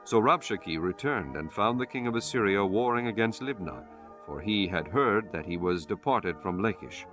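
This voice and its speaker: A man narrating a dramatized scripture audiobook in a formal, measured way, with music underneath. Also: fairly low pitch, expressive.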